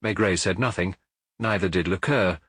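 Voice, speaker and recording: A man's voice: low-pitched, normal speed, a bit bright, breathy edge, no room sound, studio quality. A man reading a detective novel aloud as an audiobook narrator, in a scripted literary style.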